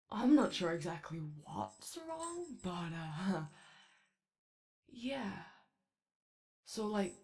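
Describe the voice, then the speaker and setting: A woman voicing an anxious, friendly animatronic daycare robot in a halting, soft ASMR roleplay. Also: mid-range voice, creaky, exaggerated voice. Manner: halting, hesitant, rambling, eager to please.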